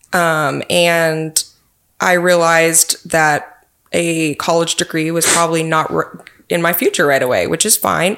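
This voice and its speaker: A woman's voice: lowish voice, creaky, fairly bright tone. A young woman from Texas with a Texan accent, a police officer answering interview questions in an earnest, friendly way.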